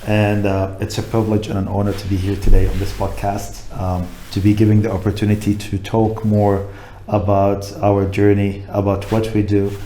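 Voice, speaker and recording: A man's voice: fairly low pitch, completely flat delivery, moderate pace, warm tone, a little hiss or hum. A middle-aged man from Jordan, a guest talking casually in an interview, thoughtful and full of fillers. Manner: thoughtful, many fillers, laughs easily. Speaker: guest, coffee importer.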